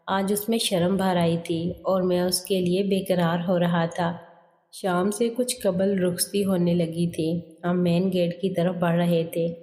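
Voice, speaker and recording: Mellow woman narrator in heavy reverb. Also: fairly low pitch. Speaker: story narrator. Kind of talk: scripted first-person story narration in a male character's voice. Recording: long hall reverb.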